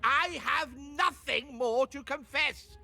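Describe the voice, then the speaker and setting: An actor playing a panicky, guilt-ridden mayor in a video game, pleading and theatrical in a high, creaky voice. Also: male voice, slow, bright, forceful tone, soft background music, heavily acted. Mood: nervous pleading.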